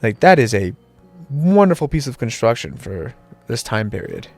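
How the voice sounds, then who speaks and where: A man's voice: mid-range voice, sing-song, normal speed, darkish tone, no echo, faint music behind. A young man with a nasal, sick-sounding voice, chatting casually while playing a video game. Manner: chatty, rambling asides with restarts. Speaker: let's play host. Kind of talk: casual gameplay commentary.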